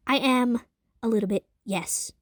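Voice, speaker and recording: A woman's voice: on the high side, takes it slowly, no echo, clean studio sound. A woman voicing a proper, wordy man of science from 1900 in an audio drama. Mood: guarded, earnest. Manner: formal, wordy, hesitant.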